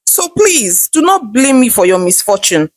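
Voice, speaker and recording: A woman voicing an excitable, sly, sarcastic Nigerian mother-in-law in a folktale, with emphatic scornful exclamations. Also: on the high side, moderate pace, no echo. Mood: sarcastic, unapologetic.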